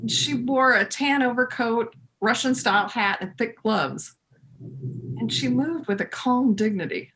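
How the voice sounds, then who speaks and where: A woman reading as the reflective first-person narrator of a readers theater piece, in measured short phrases. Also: on the high side, fairly bright tone, slight background noise, exaggerated voice, poor audio.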